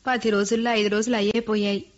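An actress playing Chandra, a cautious woman guarding her family land, who speaks calmly and skeptically. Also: medium pitch, fast, natural voice. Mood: wary. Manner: calm, skeptical. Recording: dull lo-fi radio recording with faint hiss.

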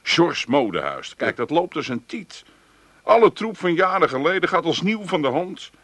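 Sly Amsterdam hustler, pushy sales patter, lively swooping voice, slightly high, dry close sound. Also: male voice, moderate pace, dry recording. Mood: persuasive. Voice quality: pushy, wheedling salesman patter with English words. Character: sly smooth-talking Amsterdam wheeler-dealer man. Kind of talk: radio comedy play acting.

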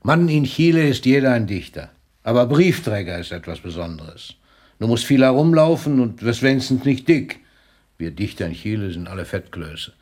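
An actor playing an aging, famous poet in a German radio drama, a gruff but patient mentor with a measured, declamatory delivery. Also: male voice, medium pitch, expressive, a little mellow, dry recording, studio quality, lightly acted. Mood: teasing, instructing. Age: older.

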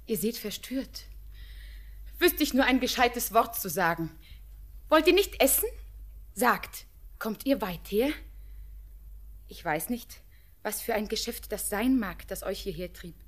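Tender young village girl, high-voiced. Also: lively intonation, a little slow, pauses often. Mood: gentle, pleading. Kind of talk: classic German radio drama, poetic stage diction.

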